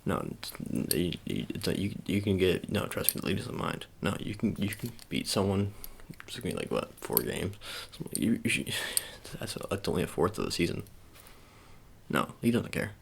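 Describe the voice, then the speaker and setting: A man doing soft-spoken ASMR roleplay as a shady sports agent, talking in a halting, casual, coaxing way and repeating his phrases. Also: sits low, sing-song, vocal fry, slight background noise.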